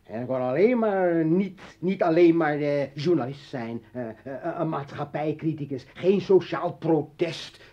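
An actor playing a bitter, militant revolutionary and former journalist in a radio play, ranting and taunting in halting bursts. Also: male voice, a little high, dark tone. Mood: bitter, insistent. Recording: dull vintage radio drama recording.

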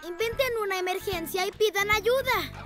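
A woman's voice: very high voice, moderate pace, over music. A woman voicing a helpful, adventurous young boy motorcycle, an earnest big brother in a children's cartoon dub. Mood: instructing. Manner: earnest, energetic. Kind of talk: dubbed children's cartoon acting.

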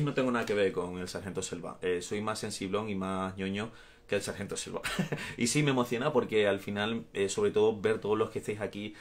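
Chatty Andalusian man, lowish voice. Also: a little hiss or hum. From Andalusia, Spain. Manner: warm, chatty, laughs often, gestures while talking. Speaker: actor answering fans in a live stream. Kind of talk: casual live chat reading viewer comments. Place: small home room. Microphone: phone or webcam at arm's length.

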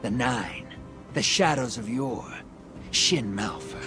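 A man's voice: mid-range voice, slow, a bit bright. An actor playing a sly, grizzled male gunslinger and smuggler in a video game, talking in a drawling, sardonic, teasing way. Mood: wry. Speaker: game character. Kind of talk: video game cutscene dialogue. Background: game music.

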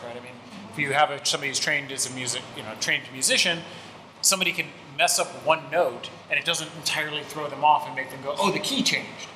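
A man's voice: on the high side, pushed and bright. A middle-aged man on an academic panel, thinking aloud and searching for words as he asks and answers questions. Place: seminar room with a panel table. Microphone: table microphone. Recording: echoey hall with background noise.

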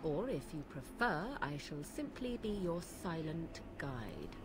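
A proud young witch from a fantasy game, speaks in arch, formal phrases with a biting edge. Her pitch moves expressively. Noticeable ambient noise runs behind her voice. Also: sing-song, a little slow. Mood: coolly polite. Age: young adult. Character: haughty young witch, sarcastic, proud and aloof. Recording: game audio over noisy outdoor ambience.

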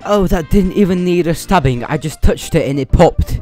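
A man's voice: fairly high pitch, moderate pace, warm tone, faint music behind, pitched above the performer's own voice, close to an everyday voice. A man voicing a cheeky, mocking British robot titan in a comedic game skit, heavy on the slang. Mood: smug.

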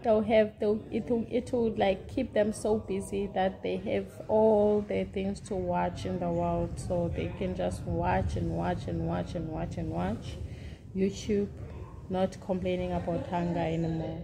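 A woman's voice: lowish voice, level tone, a little slow, creaky, background noise. A woman in her thirties, a mother, chatting casually to viewers in a home vlog, teasing and laughing, filmed on a phone held at arm's length indoors. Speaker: family vlogger, mother. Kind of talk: casual vlog chat to camera.

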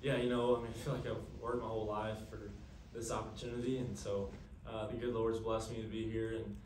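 A young man answering questions at a postgame press conference, earnest and quick, with lots of fillers. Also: fairly low pitch, level tone, darkish tone, a little hiss or hum. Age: young adult. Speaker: college quarterback. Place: press room, seated at a table. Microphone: table mic, camera at a distance. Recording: echoey room, from a distance.

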